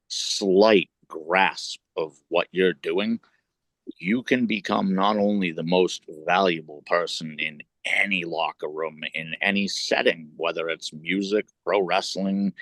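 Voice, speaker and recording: A man's voice: low voice, a bit bright, dry recording. A middle-aged man, a wrestler and podcast co-host, talking at length in casual chat, swearing and building long points.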